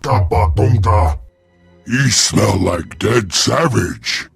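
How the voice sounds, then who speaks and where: A crude alien creature from a sci-fi game. The low voice is slow and full of gravel, croaking out alien gibberish. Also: male voice, lively intonation, soft background music, full character voice. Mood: gruff. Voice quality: raspy, croaking growl. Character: gruff, crude male alien speaking invented alien tongue. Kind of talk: video game creature acting.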